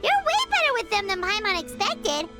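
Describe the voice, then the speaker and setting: Squeaky tiny flying sidekick girl, swoops way up and down, slow, game music loud. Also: sing-song. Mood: chirpy. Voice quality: high and squeaky. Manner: talks about herself in third person. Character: tiny chirpy female flying companion.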